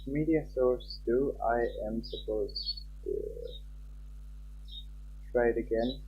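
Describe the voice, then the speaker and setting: A man's voice: mid-range voice, slow, muffled recording, slight background noise. A man streaming live, chatting casually with viewers and talking to himself as he fiddles with his stream settings.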